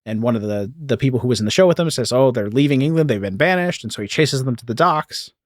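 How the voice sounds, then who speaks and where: A man's voice: mid-range voice, animated, quick pace, dry recording, studio quality. A man hosting a podcast, telling a story in a casual, informal way peppered with "like" and "you know.". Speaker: podcast host.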